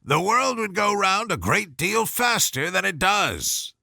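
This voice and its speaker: A man voicing a rude, bossy Duchess in a children's storybook, with a brusque, scolding manner and a hoarse growl. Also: sits high, sing-song, unhurried, sharp tone, no room sound, clean studio sound, voice pushed up, full character voice. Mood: gruff, irritable. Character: gruff, rude, bossy grown woman, a cantankerous Duchess. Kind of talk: storybook character voice.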